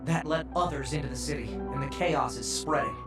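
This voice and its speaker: A man's voice: a little high, brisk, close to an everyday voice. Echoey, distant young male operator played by an actor. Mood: urgent, steady. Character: young male telepathic support operator, steady and urgent. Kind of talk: video game cutscene voice acting. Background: music.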